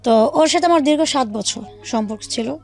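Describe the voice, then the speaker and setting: High-voiced woman telling her life story. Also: moderate pace, darkish tone, faint music behind. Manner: recounts in detail, quoting past conversations as dialogue. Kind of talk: personal storytelling in a radio interview.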